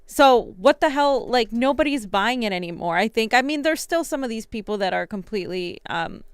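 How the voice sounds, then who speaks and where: A woman's voice: fairly high pitch, animated, brisk, clean tone, dry recording. A woman from Central Florida co-hosting a live political stream, talking casually with lots of filler words. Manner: casual, lots of "like", blunt opinions. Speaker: co-host.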